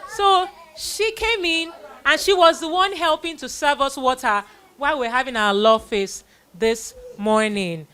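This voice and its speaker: A woman's voice: a little high, bright, forceful tone. A woman with a Nigerian accent hosting a live children's event, telling stories in an exclamatory way with acted-out quotes. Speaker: children's Bible story host.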